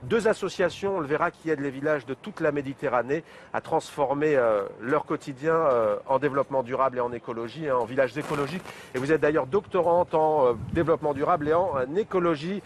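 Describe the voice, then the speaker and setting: Chatty male TV host, slightly high voice. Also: fast, slight background noise. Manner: conversational, filler-heavy questions. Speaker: TV talk show host. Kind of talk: interviewer introducing and questioning a guest.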